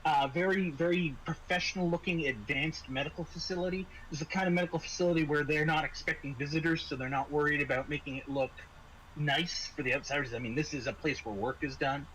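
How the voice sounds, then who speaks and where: A man running a tabletop horror game as game master, improvising scene descriptions with halting, dramatic pauses. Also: a little high, fairly even intonation, fairly bright tone, slight background noise, poor audio.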